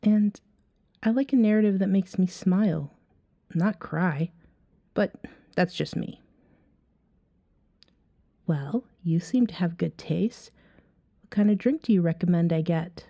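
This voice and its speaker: A woman playing a flirty, self-assured female vampire in an ASMR roleplay, teasing a stranger at a bar in a soft-spoken, breathy way. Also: lowish voice, unhurried, long pauses, no echo. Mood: relaxed, flirty. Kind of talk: ASMR roleplay, acted one-sided dialogue.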